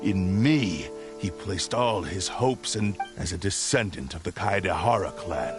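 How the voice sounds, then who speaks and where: Low, haughty sentient blade villain. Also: male voice, expressive. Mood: proud, brooding. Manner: haughty, grandiose, deliberate storytelling. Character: proud, ruthless, embittered sentient blade speaking through its host. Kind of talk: dramatic video game villain monologue. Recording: music behind the voice.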